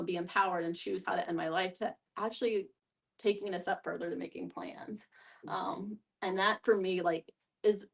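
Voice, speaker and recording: A woman's voice: mid-range voice, gravelly. Woman facilitating a discussion, heard at a distance. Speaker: therapist facilitating a group discussion. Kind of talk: reflective group discussion. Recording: group in room, distant mic.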